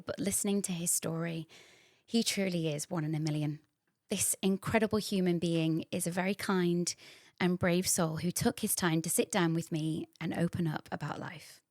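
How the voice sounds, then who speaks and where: A woman's voice: lowish voice, no room sound, clean studio sound. A woman hosting a podcast, reading a scripted intro and then chatting casually, bubbly and effusive with frequent laughter. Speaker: podcast host.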